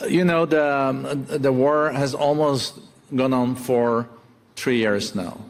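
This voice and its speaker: A man with a Norwegian accent hosts an onstage conversation in a large, reverberant hall. He speaks at a middling pitch, feeling his way through questions in halting phrases. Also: steady tone. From Norway. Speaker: onstage moderator. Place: large conference hall stage.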